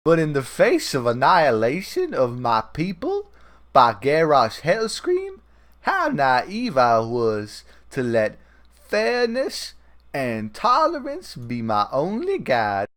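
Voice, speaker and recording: A man voicing a bitter, regretful woman leader with slow, dramatic, halting delivery, reading from a comic book. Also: a little high, wildly swooping pitch, a bit bright, heavily acted. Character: regretful, bitter woman leader, grieving her fallen city.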